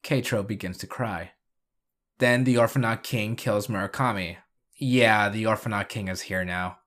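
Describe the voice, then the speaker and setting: A man's voice: fairly low pitch, normal speed, fairly bright tone. A man narrating a scripted video review and recap, with dry, sarcastic asides.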